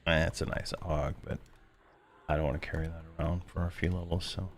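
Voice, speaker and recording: A man commentating his own gameplay in a rambling, laid-back way, full of fillers, his voice hoarse from losing it. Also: deep voice, little pitch movement, a little fast, a little hiss or hum. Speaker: game video host.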